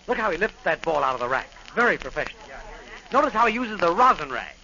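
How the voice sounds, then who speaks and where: An actor playing an excitable, exclamatory neighbour and cheerleading pal in a 1940s radio comedy. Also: male voice, sits high, fast talker, full character voice. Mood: excited. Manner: exclamatory, animated. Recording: muffled old radio recording.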